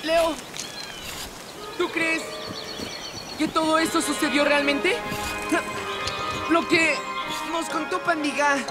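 A woman's voice: high voice, steady tone, slow, long pauses. A woman voicing a timid, wistful little male tiger cub with a hesitant, childlike manner in a children's cartoon. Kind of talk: animated children's cartoon dialogue. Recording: music behind the voice.

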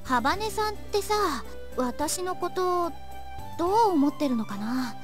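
A woman's voice: extremely high pitch, big pitch swings, a little fast. A woman voicing a friendly, candid teenage schoolgirl in an anime visual novel. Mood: wondering, slightly jealous. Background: game music.

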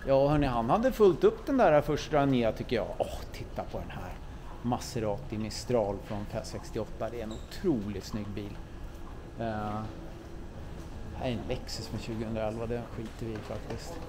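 A man's voice: medium pitch, background noise. A man walking through a car museum with a camera, giving enthusiastic casual commentary and often correcting himself as he goes. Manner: chatty, enthusiastic, muses aloud and corrects himself. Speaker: car vlogger touring a museum. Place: indoor car museum hall. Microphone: handheld camera mic, walking. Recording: visitor crowd in background. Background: crowd murmur of museum visitors.

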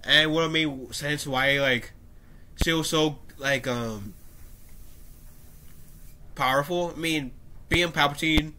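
A young man with a stuffed-up nose talks to his webcam from a small room at home. His voice is bright and pressed, sitting a little higher than most men's. He reads an article aloud in a casual, animated way, breaking off into his own opinions and coughing now and then. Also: slow pace, pauses often, slight background noise. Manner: animated, gestures with his hands, frequent coughs and restarts. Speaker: YouTuber. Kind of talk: casual commentary reading an article.

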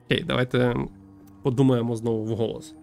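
A young man from Ukraine thinking aloud as he streams a game, with hesitant pauses and wry joking. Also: medium pitch, level tone, gravelly, a little mellow. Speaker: streamer playing a visual novel. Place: small room at home with string lights. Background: game music.

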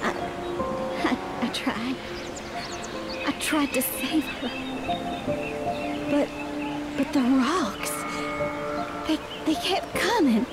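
An actress playing a theatrical, effusive female TV news reporter in a game cutscene, with drawn-out, expressive delivery. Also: sits high, heavily acted. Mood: tearful, haunted. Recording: loud music over the voice.

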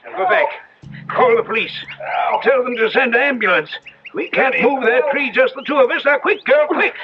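A man's voice: very high-pitched, normal speed. A man playing a kindly, folksy older country neighbour in a 1970s radio drama, speaking in a hesitant, homely way. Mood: urgent. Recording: dull, noisy old radio recording.

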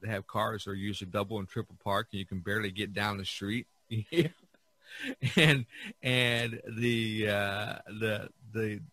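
A man hosting a podcast in casual chat, speaking haltingly and repeating words as he searches for phrasing. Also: on the low side, fairly even intonation, sharp tone, dry recording. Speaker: podcast host.

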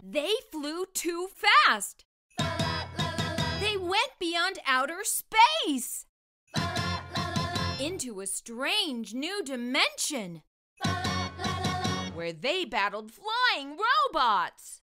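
A middle-aged woman hosting a children's storytime, speaking in an animated, expressive way to young readers. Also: high voice, extremely slow, long pauses. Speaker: host of children's read-aloud show. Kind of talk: children's storytime read-aloud and presenting. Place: small furnished room indoors. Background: music.

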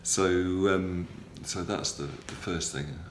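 A middle-aged man with a cold, recording a halting, matter-of-fact video diary from a hospital room on a front-facing camera, with many ums and uhs. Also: sits low, fairly even intonation. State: has a cold, stuffy. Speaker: patient video blogger. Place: small hospital room, indoors. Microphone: phone or camera close up, front-facing. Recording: roomy with faint background hum.

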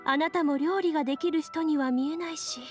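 A woman's voice: high-pitched, fairly even intonation, a little fast, darkish tone, voice pushed up, lightly acted. A woman playing a melancholy young townswoman, polite but cold, in an anime game. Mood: coolly declining. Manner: reserved, polite. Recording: music behind the voice.

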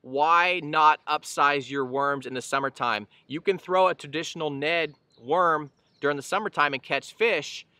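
Casting note: thirties male angler-host, bright crisp delivery, casual explainer, recorded outdoors on a boat. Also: on the high side, moderate pace, few pauses, no room sound. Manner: easygoing, explanatory, frequent fillers and restarts. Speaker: fishing channel host. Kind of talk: casual instructional talk to camera.

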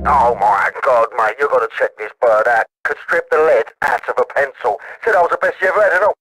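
Video game role: slangy British wide boy, higher-pitched, raspy and pushy, boastful and boozy. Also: bright, forceful tone, no room sound, rough recording. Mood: excited, bragging. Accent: British, southern English. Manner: slangy, rambling, agitated. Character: drunken, boastful, resentful British small-time hustler. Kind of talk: video game character phone dialogue.

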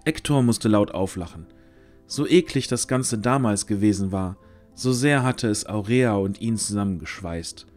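A man's voice: fairly low pitch, normal speed, a little mellow, faint music behind. A man narrating a scripted fantasy audio drama as its storyteller.

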